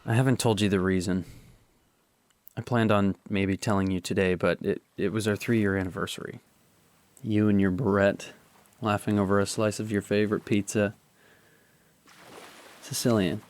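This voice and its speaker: A man's voice: fairly low pitch, steady tone, normal speed, long pauses, a little mellow, natural voice. A man playing a guilt-ridden young adult confessing to his girlfriend in a hesitant, earnest radio drama monologue. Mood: guilty, regretful.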